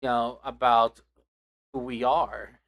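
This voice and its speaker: A young man hosting a casual podcast chat with friends, talking in a relaxed, rambling way with restarts. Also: mid-range voice, slow pace, vocal fry. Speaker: podcast host.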